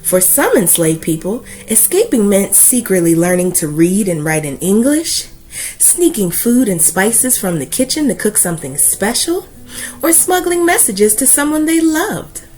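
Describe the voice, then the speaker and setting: A woman reads a children's storybook aloud in a lively, swooping voice. Her phrasing is deliberate and emphatic, as a read-aloud host would do it. Soft music plays faintly behind her. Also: medium pitch, rough recording.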